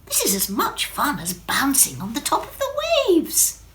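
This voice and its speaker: A woman voicing an excitable, innocent little female water drop in a children's story, wide-eyed, exclaiming and questioning. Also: a little high, a little slow, voice pushed up, full character voice. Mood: delighted.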